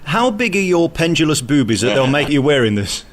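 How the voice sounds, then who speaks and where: A man's voice: a little high, very animated, fairly quick. A man interviewing in a cheeky, teasing manner.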